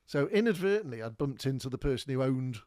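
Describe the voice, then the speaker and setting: A man's voice: medium pitch, lively intonation, quick pace, darkish tone, no room sound, studio quality. A man from North Wales, telling a rambling, casual story as a podcast host while pointing things out on maps. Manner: chatty, rambling, with many fillers and restarts.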